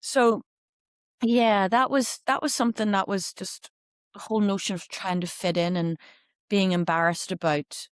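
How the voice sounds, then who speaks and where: A woman in her fifties with a Belfast Irish accent, telling her life story as an interview guest in a candid, animated way with quoted asides. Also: medium pitch, normal speed, clean tone, no echo, studio quality. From Northern Ireland. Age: 50s. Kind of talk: reflective interview conversation.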